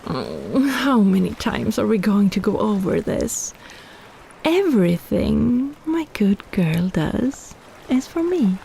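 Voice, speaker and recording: A woman's voice: mid-range voice, very animated, slow, gravelly, dark tone, a little hiss or hum, full character voice. A woman playing a possessive, teasing kraken in an intimate roleplay audio drama, coaxing and condescending as she schemes.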